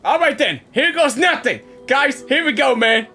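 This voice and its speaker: A man reacting to a boss fight as he plays, exclaiming and shouting constantly in excitement. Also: very high voice, normal speed, faint music behind. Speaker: gaming channel host. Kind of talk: live gameplay reaction.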